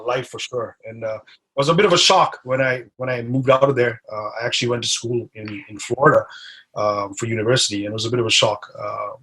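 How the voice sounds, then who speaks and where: A husky man in his forties, from Dubai, talks through his past in a casual, rambling way. He keeps checking in with his listener as he goes, at an ordinary middle pitch. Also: a bit bright, poor audio. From grew up in Dubai. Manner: chatty, tags lines with "right?" and "you know". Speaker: podcast guest. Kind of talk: casual interview storytelling.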